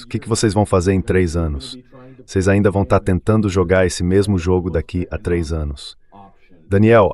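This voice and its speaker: Man, low voice, guest analyst, geopolitical commentary, translated voice-over. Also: darkish tone.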